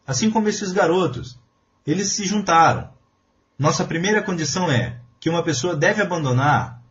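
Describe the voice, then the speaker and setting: A man's voice: on the high side, lo-fi sound, slight background noise. A man reading a translated spiritual lecture aloud in an earnest, emphatic preaching manner. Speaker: voice-over reader of a translated lecture.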